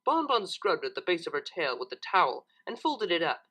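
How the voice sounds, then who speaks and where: A man narrating a story in an expressive storybook style. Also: a little high, brisk. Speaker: narrator reading fan fiction, channel host.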